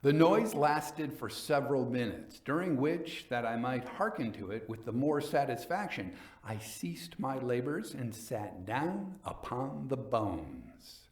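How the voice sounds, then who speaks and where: A man giving a dramatic reading as a coldly vengeful nobleman recounting his murder in a gothic tale, theatrical, deliberate and emphatic. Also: mid-range voice, very animated, a little mellow, roomy sound. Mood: cold, measured.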